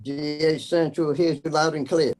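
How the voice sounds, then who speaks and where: A man practicing formal emergency radio procedure, announcing scripted messages in a deliberate way as a trainee net controller. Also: a little high, flat delivery, darkish tone. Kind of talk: scripted radio procedure drill with call signs.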